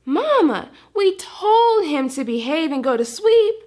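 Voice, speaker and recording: A woman playing an earnest, high-pitched little puppy. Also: big pitch swings, measured pace, darkish tone, exaggerated voice. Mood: earnest, explaining. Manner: babyish grammar, says dat for that. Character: mischievous, earnest little puppy boy with big imagination. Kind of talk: read-aloud children's storybook character.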